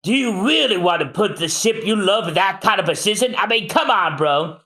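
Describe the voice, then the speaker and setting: A man's voice: high voice, animated, moderate pace, bright, forceful tone, pitched above the performer's own voice. A man voicing a young male pirate who lectures insistently in a raised voice. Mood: lecturing.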